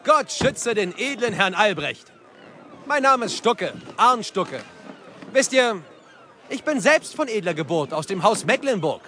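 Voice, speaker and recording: A high-voiced, proud poor nobleman. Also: sing-song, a little slow, bright, forceful tone, exaggerated voice. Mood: proud, indignant. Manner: proud, exclamatory. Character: Arndt Stucke, proud impoverished nobleman, loyal and excitable. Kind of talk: German audio drama acting. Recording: noisy crowded tavern behind.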